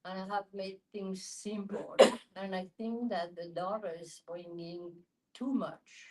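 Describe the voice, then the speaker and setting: A woman's voice: mid-range voice, fairly even intonation, slow pace, a little mellow, poor audio. A woman with a French accent speaking frankly and matter-of-factly in a group discussion. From France.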